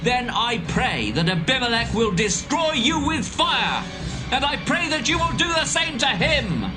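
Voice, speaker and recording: A defiant young man calling out the leaders of a town in an audio Bible drama. His voice sits high and carries an impassioned, accusing edge. A music score plays underneath him. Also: very animated, unhurried, exaggerated voice.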